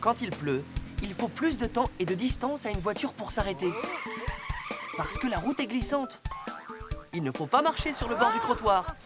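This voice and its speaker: Responsible cartoon police car, teaching safety, lively intonation, loud music under him. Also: male voice, extremely high pitch, normal speed, long pauses. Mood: instructive. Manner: instructive, earnest. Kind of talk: French cartoon dubbing, safety lesson.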